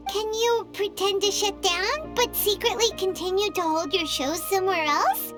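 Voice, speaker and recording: A woman's voice: moderate pace, over music. A woman voicing a small, chirpy female fairy companion who speaks of herself in the third person, in a game cutscene. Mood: thinking. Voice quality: squeaky childlike voice.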